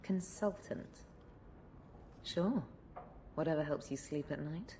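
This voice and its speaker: An actress voicing a tough, cynical criminal enforcer in a video game, sardonic, blunt and coolly menacing. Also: female voice, sits low, slow pace, dark tone, a little hiss or hum. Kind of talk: video game cutscene acting.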